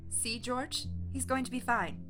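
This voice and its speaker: A woman's voice: on the high side, a little slow, music in the background, lightly acted. An actress playing a wary, combative young woman in a naturalistic audio drama, blunt and challenging. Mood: worried. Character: Faith, wary combative young woman refusing to open the door.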